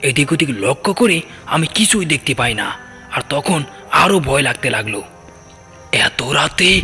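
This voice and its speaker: A man's voice: a little high, bright, forceful tone. A man narrating a scripted first-person ghost story in a dramatic storytelling style. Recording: long cavernous reverb, music underneath. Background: background music.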